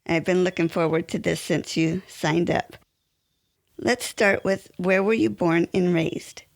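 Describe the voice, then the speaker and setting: A woman's voice: lowish voice, steady tone, measured pace, gravelly. A woman hosting a podcast, reading a friendly scripted introduction and asking interview questions. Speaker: podcast host. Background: faint music.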